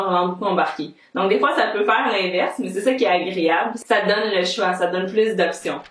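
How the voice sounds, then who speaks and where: Chatty Québécois woman in a roomy echo. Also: medium pitch. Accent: Québécois French. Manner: chatty and upbeat, lists her reasons one by one. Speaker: book vlogger. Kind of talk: casual chat to camera.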